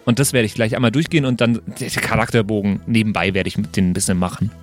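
A man's voice: on the low side, fairly even intonation, quick pace. A man leading a casual podcast conversation about creating game characters. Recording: music playing behind. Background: background music.

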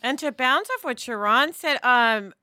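A middle-aged woman co-hosting a podcast in an emphatic, expressive conversational style. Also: high voice, a little slow, dry recording, studio quality. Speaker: podcast co-host. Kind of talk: animated conversational discussion.

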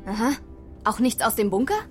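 Brief: actress as a high-pitched, prying coworker, music clearly behind. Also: female voice, fairly quick, close to an everyday voice. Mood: curious. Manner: curious, probing. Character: curious female colleague, probing.

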